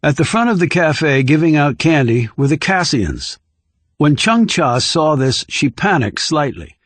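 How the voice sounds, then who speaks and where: A man's voice: a little high, big pitch swings, a little slow, fairly bright tone, no echo. A man reading scripted thriller narration as an audiobook narrator.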